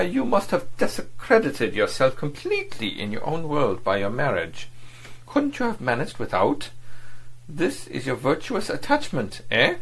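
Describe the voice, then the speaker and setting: A man reads this part, raising his voice into a polished, scornful diplomat who pitches his lines high and lets them leap and fall. His tone is cool and menacing, with a gravelly edge under the mockery. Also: heavily acted. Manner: mocking, sneering, overbearing. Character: suave, cynical, menacing male foreign diplomat.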